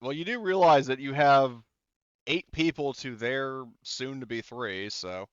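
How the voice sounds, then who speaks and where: A man's voice: mid-range voice, a little slow, clear, resonant tone, dry recording. A man casually refereeing a tabletop roleplaying game as game master. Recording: slightly dull, lo-fi voice-chat audio.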